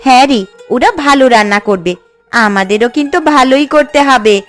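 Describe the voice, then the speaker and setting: A modest village sister-in-law, acted by a woman, in a Bengali cartoon. She speaks earnestly in a fairly high voice, with music playing behind. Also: fairly quick, fairly bright tone, natural voice. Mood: earnest, resigned. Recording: music under the voice.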